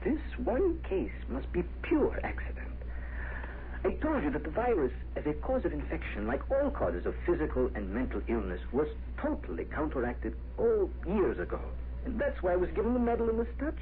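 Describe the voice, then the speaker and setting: An actor playing an embittered, obsessive old doctor in a 1950s radio drama, with formal, theatrical diction. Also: male voice, high-pitched, huge pitch swings, fairly quick, slight background noise. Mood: defensive, bitter. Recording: muffled, narrow-band 1950s radio recording.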